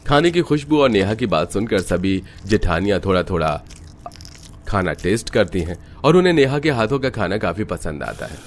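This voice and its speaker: Man, deep voice, scripted story narration, some noise behind. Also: big pitch swings, moderate pace.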